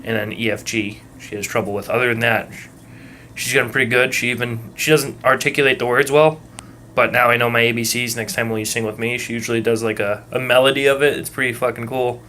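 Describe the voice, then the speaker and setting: Young guy, muddled massachusetts accent, calm easy rhythm, lots of ums, swears casually, bit of hiss. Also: mid-range voice, fast. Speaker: vlogger. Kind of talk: casual rambling monologue. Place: at work.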